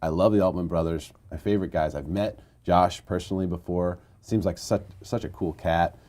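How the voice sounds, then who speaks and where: A man's voice: deep voice, steady tone, fairly quick, a little mellow. A man from Missouri hosting an interview, talking casually and gushing with enthusiasm like a fan. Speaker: interview host.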